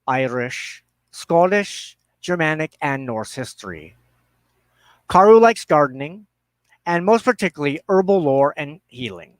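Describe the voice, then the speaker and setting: A man hosting a livestream, making introductions and announcements in a halting, deliberate way with pauses mid-phrase. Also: mid-range voice, dry recording. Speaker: host of a religious broadcast show.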